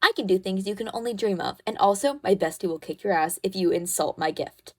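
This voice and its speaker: Woman acting as teenage best friend, female, medium pitch, caring, protective, reassuring, gently bossy, ASMR comfort roleplay. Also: fairly quick, lightly acted. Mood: encouraging, reassuring. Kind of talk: ASMR comfort roleplay, one-sided conversation.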